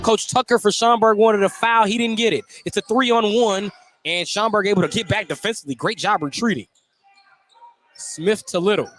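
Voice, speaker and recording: A high-pitched man calls a basketball game live as a radio announcer. He follows the action closely and gets excitable on big plays, with faint music behind him in the gym. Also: normal speed. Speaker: play-by-play announcer.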